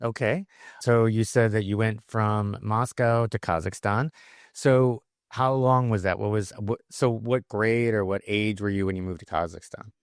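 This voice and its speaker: A man's voice: lowish voice, fairly even intonation, moderate pace, clear, resonant tone, no room sound, clean studio sound. A man from Chicago hosting an interview show, enthusiastic, asking long rambling questions. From Chicago, USA. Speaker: podcast host.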